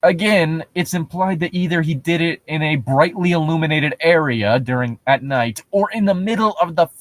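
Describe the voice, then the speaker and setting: A man's voice: fairly high pitch, normal speed, no room sound. A man giving casual comedic commentary and reading passages aloud, sounding sarcastic and incredulous and swearing casually. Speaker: co-host reading and riffing on internet horror stories.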